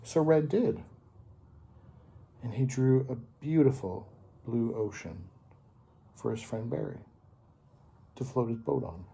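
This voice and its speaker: Guy doing storytime for kids, super up and down, slightly husky, takes his time. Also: mid-range voice. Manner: expressive, unhurried, with dramatic pauses. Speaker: storyteller, host of a children's story series. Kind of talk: animated read-aloud of a picture book to children.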